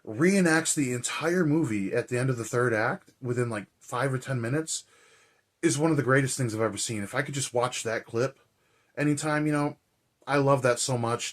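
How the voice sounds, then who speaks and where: A man with a hoarse, raspy voice, chatting casually and rambling with lots of fillers as a movie vlogger. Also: medium pitch. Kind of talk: casual chat.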